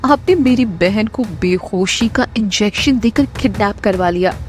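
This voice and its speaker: A woman's voice: medium pitch, unhurried, a little mellow, faint music behind, lightly acted. A woman voicing an anguished, defiant young captive bride in a romance audio drama, emotional and pleading. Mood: defiant, hurt.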